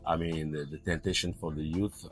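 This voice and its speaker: A man in his early fifties speaks with a Cameroonian accent in a very low voice. He talks conversationally as an interview guest, pausing and repeating words as he shapes his thoughts, with faint music behind him. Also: fairly even intonation. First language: not English. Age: early 50s. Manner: hesitant, repeats words while forming thoughts. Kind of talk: conversational personal storytelling.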